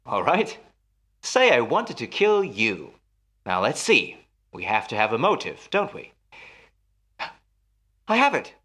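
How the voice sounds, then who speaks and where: A man's voice: mid-range voice, wildly swooping pitch, slow, long pauses, pushed and bright, exaggerated voice. An actor in a radio play as a jealous husband, musing theatrically and teasing his wife with menace. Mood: playful menace.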